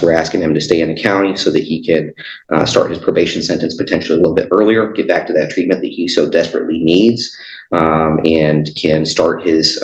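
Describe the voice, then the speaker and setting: A man speaking as a defense attorney in court, arguing haltingly and hesitantly. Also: extremely low pitch, gravelly. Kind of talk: argument in court. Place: echoey indoor courtroom. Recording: echoey room, muffled sound.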